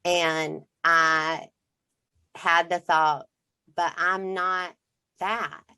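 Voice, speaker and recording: A woman's voice: fairly low pitch, flat delivery, extremely slow, pushed and bright, smooth, rough recording. A middle-aged woman co-hosting a podcast, telling a personal story in casual chat and acting out what people said. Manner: storytelling, acts out quotes, restarts sentences. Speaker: podcast co-host.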